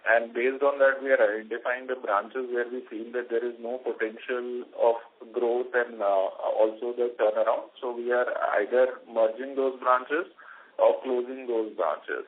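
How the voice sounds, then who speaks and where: A man speaking as a company executive, answering questions on an earnings call in a halting, hesitant way. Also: mid-range voice, steady tone. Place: conference call. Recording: tinny phone-line conference audio.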